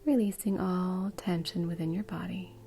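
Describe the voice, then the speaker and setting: A woman guiding a meditation in a soft, breathy, soothing way. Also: fairly low pitch, steady tone, normal speed, faint music behind. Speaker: meditation guide. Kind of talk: guided meditation.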